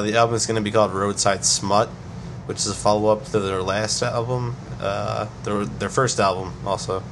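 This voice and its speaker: A man's voice: on the low side, background noise. A young man with a cold and a nasal voice, hosting a podcast in rambling casual chat full of fillers and restarts. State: has a cold. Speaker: podcast host.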